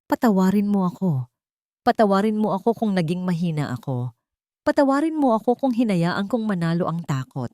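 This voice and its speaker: Woman acting a remorseful, pleading Filipino woman, mellow-voiced. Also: mid-range voice, sing-song, normal speed, no room sound, studio quality, close to an everyday voice. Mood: grieving apology. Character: remorseful, frightened older woman pleading for forgiveness.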